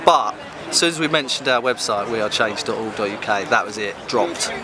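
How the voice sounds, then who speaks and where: A man's voice: on the low side, brisk. A British man in his thirties casually telling an anecdote in an interview, recorded outdoors on a handheld camera. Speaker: activist interviewee. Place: open park outdoors with crowds. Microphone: handheld camera at close range. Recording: outdoor crowd noise.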